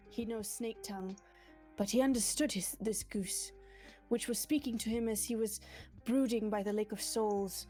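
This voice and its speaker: A woman's voice: mid-range voice, darkish tone. A woman reading a comic fan story aloud in a dramatic narrating style on a livestream. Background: light music.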